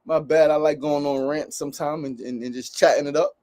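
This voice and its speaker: A man's voice: a little high, fairly even intonation, brisk, a little mellow. Upbeat rambling New man. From United States. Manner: rambling, upbeat, says "right?" often. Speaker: podcast host. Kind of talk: casual livestream monologue.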